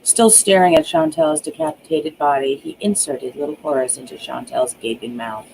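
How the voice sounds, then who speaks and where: A narrator reads a dark thriller in a dramatic audiobook style. The voice is mellow and full of vocal fry, leaning on key words with hushed, drawn-out emphasis. Some background noise sits under the homemade recording. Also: mid-range voice, low-quality recording. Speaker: author reading own book aloud.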